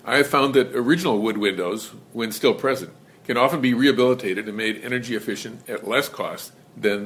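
A man presenting an educational lesson in measured, scripted narration. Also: mid-range voice, a bit bright. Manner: measured, explanatory.

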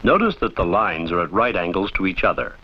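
A man reading scripted instructional narration for a training film in a formal, measured, didactic way, on an old film soundtrack. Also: sits low, big pitch swings, breathy edge, muffled recording.

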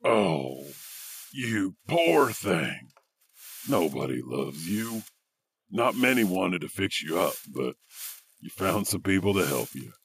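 A man's voice: low-pitched, big pitch swings, a little hiss or hum, heavily acted. A man playing an eccentric, awkward janitor who hosts a horror audio anthology with rambling asides. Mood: cooing. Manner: halting, rambling, chuckling asides. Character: eccentric, awkward, creepy male janitor storyteller. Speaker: host. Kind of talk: comic horror anthology hosting.

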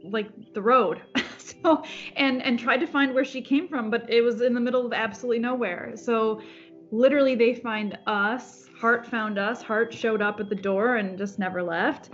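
A woman's voice: on the high side, steady tone, normal speed, a bit bright. A woman in her thirties chatting over a video call from home, rambling and restarting her sentences with easy laughs. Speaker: farm sanctuary founder. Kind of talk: casual video call chat. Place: home room. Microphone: laptop webcam on video call. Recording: dull lo-fi call audio, faint music.

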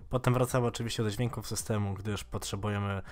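A man in his twenties giving a casual, informal software tutorial in a slightly halting way. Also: on the low side, steady tone. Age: 20s. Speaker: tech tutorial host.

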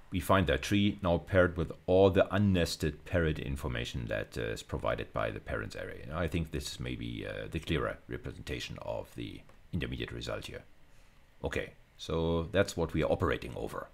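Very deep, creaky middle-aged man lecturing. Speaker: university lecturer. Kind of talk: informal screencast lecture, explaining code step by step.

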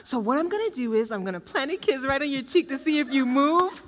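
A woman's voice: sits high, expressive, quick pace. A woman playing a giddy, clingy, lovestruck young woman in a comedic stage play, gushing breathlessly and melodramatically. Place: theatre stage, indoors. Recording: noisy stage recording from far off.

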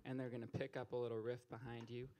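A man's voice: mid-range voice, completely flat delivery, fast, slight background noise, low-quality recording. A young man hosting a poetry open mic on a small stage, teasing the crowd with stand-up style banter into a handheld mic. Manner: joking, teasing, rising to loud calls to the stage.

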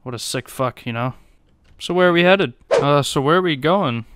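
A man's voice: on the high side, moderate pace, background noise. A man chatting casually while playing a role-play game, joking and trolling with plenty of slang and swearing. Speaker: gaming video creator playing online role-play.

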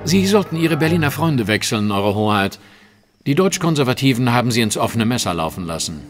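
An actor playing a confident, sardonic Victorian detective in a German audio drama, polite, measured and ironic. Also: male voice, mid-range voice, sing-song, soft background music, natural voice. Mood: dry.

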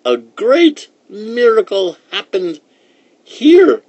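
A kindly old woman from a storybook, acted out by a man, speaks high above a normal male register. Her words are drawn out and emphatic, with an air of mystery and a slightly husky edge. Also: very animated, extremely slow, a little mellow, no echo, muffled recording, full character voice. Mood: grateful, mysterious.

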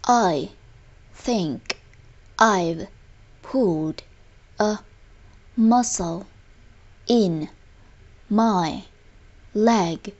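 Very slow, deliberate woman teacher. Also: medium pitch, darkish tone, lo-fi sound. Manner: deliberate, word-by-word enunciation. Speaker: language teacher. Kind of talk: scripted lesson narration, slow repeated example phrases.

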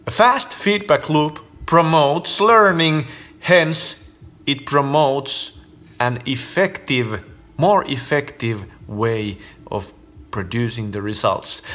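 A slow, deliberate man in his thirties with a Finnish accent, speaking English in a casual vlog at home. His voice sits a touch high for a man, and he leans hard into each point as he counts it off, recorded on a phone held at arm's length in a living room. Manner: animated, emphatic, gesturing with fingers while counting points. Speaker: host. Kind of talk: casual vlog talk to camera. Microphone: phone camera at arm's length.

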